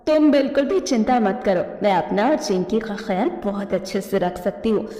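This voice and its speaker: Woman playing a mellow, motherly cartoon crow. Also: medium pitch, animated, normal speed, lightly acted. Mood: firm, protective. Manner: coaxing, motherly, gently scolding. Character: caring, worried older mother-in-law crow, motherly. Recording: echoey reverberant studio track.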